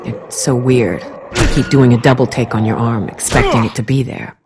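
A woman's voice: rumbling low, steady tone, moderate pace, a crowd in the background. A woman playing a frank, firm but caring survivor in a zombie video game, speaking directly. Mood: skeptical.

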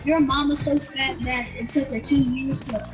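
A woman's voice: sits high, fairly even intonation, normal speed, loud music behind the voice. A female player in casual game voice chat, giving defiant, childish comebacks.

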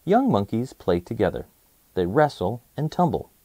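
A man reading a children's nonfiction book aloud as host, in an expressive, clearly enunciated storytelling manner for young listeners. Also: on the low side, normal speed, no room sound.

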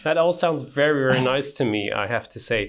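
Interview host, man, 30s, middle pitch, easy conversational questions, small studio room. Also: fast. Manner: brief encouraging replies and chatty questions.

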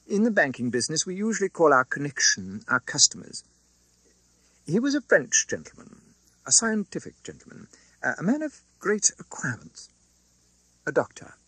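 A man's voice: on the high side, wildly swooping pitch, normal speed, bright, forceful tone, breathy edge, no room sound, pitched above the performer's own voice, exaggerated voice, poor audio. A man voicing a fussy, flustered, kindly old English banker in an audiobook, formal and businesslike, with a hesitant stammer. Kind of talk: dramatised audiobook reading.

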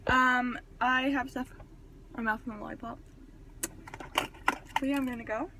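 A woman's voice: on the high side, fairly even intonation, unhurried. A seventeen-year-old young woman chatting casually to her phone, rambling along as a vlogger. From California. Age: 17, teenager. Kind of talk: casual rambling vlog chat. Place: mostly inside a car. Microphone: phone at arm's length. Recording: noisy handheld phone with vehicle sounds.

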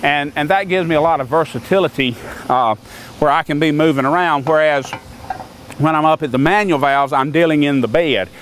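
An older man explains machinery in a plain, folksy way, stumbling and restarting now and then. His voice sits a little high for a man. He is recorded outdoors on a camera mic from a few feet away, with a faint hum of outdoor noise behind him. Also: a little fast, fairly bright tone, low-quality recording. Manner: plain-spoken, folksy, repeats words when restarting. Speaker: sawmill maker explaining equipment. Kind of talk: casual outdoor equipment demonstration. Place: outdoors on a grassy lot beside a sawmill.

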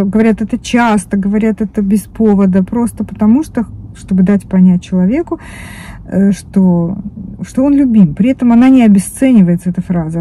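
A woman in her fifties talks to her phone camera from the driver's seat of a parked car. Her voice is soft, dark and warm. She rambles loosely, restarting words and filling pauses as she goes. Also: medium pitch, slightly rough. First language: Russian. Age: 50s. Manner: rambling, many restarts and fillers. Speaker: vlogger. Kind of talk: casual chat to camera. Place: parked car, indoors of vehicle. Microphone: phone camera on dashboard.

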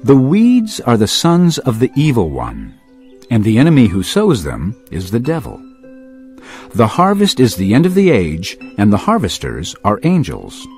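An earnest male teacher in an audio Bible drama, telling parables to crowds. His mid-pitched voice swings widely and expressively while keeping a measured storyteller's delivery, with music underneath. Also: wildly swooping pitch, darkish tone. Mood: solemn warning.

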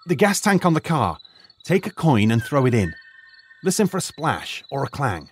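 An actor playing a retired archaeologist turned high school teacher, measured and explanatory in an audio drama. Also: male voice, big pitch swings, a little hiss or hum, natural voice. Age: older.

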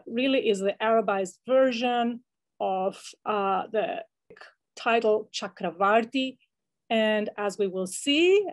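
A woman presenting as an academic, speaking from prepared material over slides on a video call. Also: a little high.

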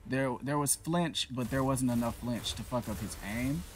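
A man's voice: medium pitch, normal speed, a little hiss or hum. A man giving casual gameplay commentary, talking to the enemies on screen and trash-talking them with plenty of swearing. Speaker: gaming channel host. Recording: voice over loud game music.

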